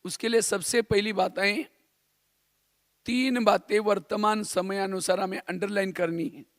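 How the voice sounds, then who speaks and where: A man's voice: sits high, steady tone, measured pace, a bit bright, dry recording, clean studio sound. A man from India giving a spiritual class in a didactic way, repeating phrases and posing rhetorical questions. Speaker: spiritual teacher.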